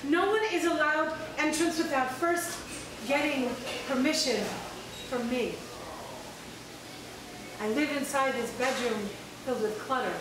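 High-voiced woman reading poems in an echoey cafe. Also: noisy background. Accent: American. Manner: slow, measured reading with chatty asides. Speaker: poet at an open mic. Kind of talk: poetry reading. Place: small cafe stage at night. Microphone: stand mic, camera from across the room. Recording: echoey room, distant camera mic, crowd. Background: audience in the cafe.

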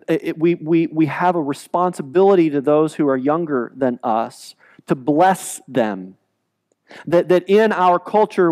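A man in his forties preaching a sermon in a church sanctuary, animated and gesturing, often repeating words as he restarts. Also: fairly high pitch. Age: 40s. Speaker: pastor. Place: large church sanctuary, speaking from the stage.

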